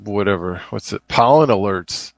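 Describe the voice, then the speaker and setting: A man's voice: fairly low pitch, moderate pace, creaky, no room sound. A man chatting casually as a podcast co-host, in a laid-back, plain-spoken way. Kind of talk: casual chat.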